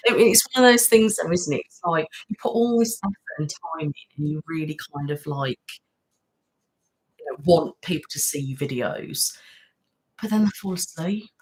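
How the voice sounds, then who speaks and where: A woman's voice: deep voice, expressive, normal speed, rough recording. A woman hosting a casual live-stream chat, rambling, laughing often and thanking viewers by name. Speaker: ASMR channel host.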